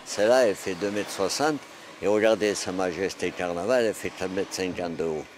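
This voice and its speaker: A man in his sixties, a carnival float builder, explaining things in a direct, animated way in a large workshop. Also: sits low, brisk. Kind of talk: casual interview. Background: workshop noise.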